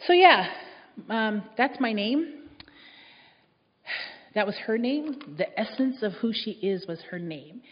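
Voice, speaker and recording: A woman's voice: on the high side, animated, slow pace, pauses often. Female speaker, reflective sermon storytelling, long hall reverb. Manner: reflective, warm storytelling with soft laughs. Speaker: speaker giving a church talk. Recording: dull echoey hall sound.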